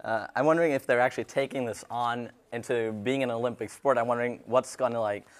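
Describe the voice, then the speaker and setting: A man's voice: medium pitch, fairly even intonation. A young man, Norwegian native, co-hosting a college radio arts segment, rambling in chat and reading announcements. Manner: halting, rambling with fillers in chat. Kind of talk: casual chat and read announcements.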